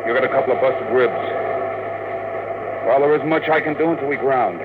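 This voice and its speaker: Blunt, protective rocket pilot played by a man, American, medium-pitched, commanding, classic radio drama on a noisy old recording. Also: fairly quick, narrow, tinny audio, close to an everyday voice. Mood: concerned. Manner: clipped, slangy, commanding.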